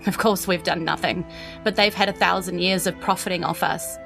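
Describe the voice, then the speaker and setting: A New Zealand woman playing an earnest, nervous but determined teenage empress in a tabletop game, speaking haltingly. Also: fairly low pitch, steady tone, gravelly, fairly bright tone, soft background music. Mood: bitter, determined.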